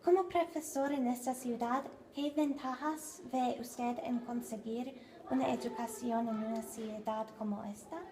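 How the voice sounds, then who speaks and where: A young woman interviewing in halting Spanish, hesitant with many ums. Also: on the high side, steady tone, warm tone, a little hiss or hum. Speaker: interviewer, student.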